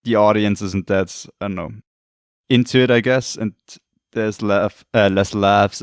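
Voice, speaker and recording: Slightly hoarse man chatting on a podcast. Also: on the low side, no echo, studio quality. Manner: rambling, hesitant, self-correcting. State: losing his voice, throat clearing. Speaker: podcast co-host. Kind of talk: casual chat.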